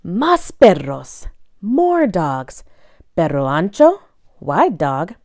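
A woman reading a children's picture book aloud in a bilingual read-aloud style, expressively and deliberately, phrase by phrase. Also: fairly low pitch, wildly swooping pitch, darkish tone, dry recording, clean studio sound.

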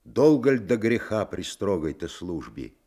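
An actor playing a kindly old sailor orderly in a Soviet radio drama, speaking in a folksy, plain way. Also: male voice, on the low side, sing-song, a little slow, mellow, full character voice. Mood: explaining patiently. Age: older. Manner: folksy, colloquial peasant speech.

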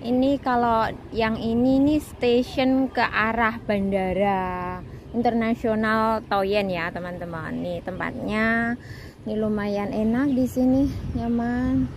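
A friendly Javanese woman in her thirties, chatting to her viewers as she walks. She talks into a phone held at arm's length outdoors, with clear traffic and street noise behind her. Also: on the high side, fairly even intonation, slow pace. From Indonesia. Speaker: vlogger, migrant domestic worker. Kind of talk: casual vlog chat to viewers. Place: outdoors by a city street and park.

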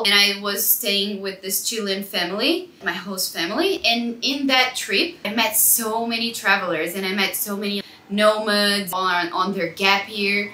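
A woman's voice: mid-range voice, sharp tone, reverberant. A woman in her twenties with a Brazilian accent, chatting casually to camera as a travel vlogger. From Brazil, São Paulo. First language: Portuguese. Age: 20s. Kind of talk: casual chat to camera, answering questions. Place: small living room indoors. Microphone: clip-on lapel mic.

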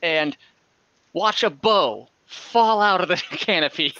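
A man running a tabletop game as dungeon master over a video call, relaxed and hesitant, thinking aloud with many fillers. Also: high-pitched, takes it slowly, a little hiss or hum. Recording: dull lo-fi video call audio.

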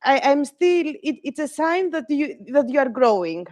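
A woman's voice: high-pitched, big pitch swings, normal speed, darkish tone, no room sound, clean studio sound. A young woman from Greece giving a live online lecture, friendly, with many hesitant fillers. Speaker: guest lecturer.

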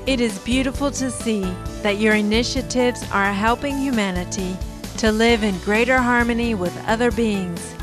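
A woman reads documentary narration in a gentle, deliberate, measured way. Her voice sits a bit high. Music plays clearly beneath her. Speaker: program narrator. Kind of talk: slow scripted documentary narration.

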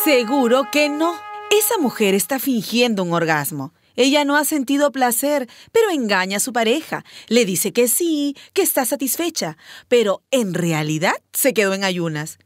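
Lively, animated radio advice doctor. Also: female voice, fairly high pitch, moderate pace, soft background music. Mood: teasing, frank. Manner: chatty, teasing, emphatic. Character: frank, playful woman doctor hosting a sex-advice radio show. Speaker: radio advice show host. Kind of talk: radio drama advice programme.

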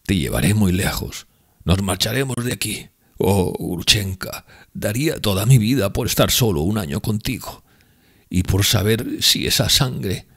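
A man voicing a guilt-ridden young man in love in an audiobook, speaking slowly and heavily. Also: lowish voice, expressive, fairly bright tone, breathy edge, no room sound, studio quality, voice pushed up, exaggerated voice. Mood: yearning.

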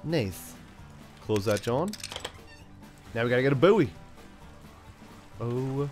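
A man hosting a gaming channel, chatting playfully through a let's-play, stuffed up from a cold and now and then yelling out excited bursts. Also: mid-range voice, takes it slowly, faint music behind. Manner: playful, talks to himself, yells bursts like "send it". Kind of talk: casual let's-play commentary.

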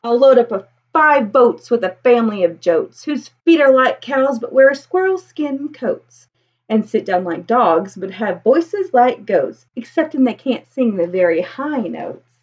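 An American woman reads a children's picture book aloud in a lively, swooping storyteller's voice. It sits a bit high and has a husky edge. The sound is slightly dull, as from a webcam. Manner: animated storytelling with drawn-out emphasis. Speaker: elementary school teacher reading aloud. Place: small room at home with bookshelf.

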